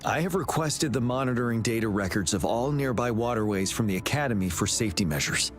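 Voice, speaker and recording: An actor playing a calm, commanding male leader in a game cutscene, speaking in a composed, measured way. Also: medium pitch, natural voice. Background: game music.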